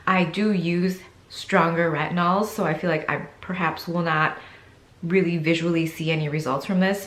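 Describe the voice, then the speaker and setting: A slightly low-voiced young woman chats casually to her camera, dropping "like" all through her sentences. Her voice sounds a little congested. The room around her is echoey. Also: a bit bright. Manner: chatty, frequent "like" fillers. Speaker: vlogger.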